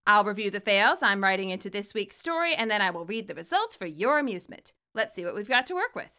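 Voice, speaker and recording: A woman reading scripted commentary and story narration, expressive and wry as the author of the tale. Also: mid-range voice, fast, clear, resonant tone. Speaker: fan fiction author and narrator.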